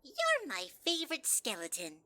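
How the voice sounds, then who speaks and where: A woman's voice: high voice, huge pitch swings, normal speed, bright, forceful tone, no room sound, full character voice. A woman voicing a small, sly, manipulative male talking flower with a sugary, faintly scheming sweetness, in an audio drama.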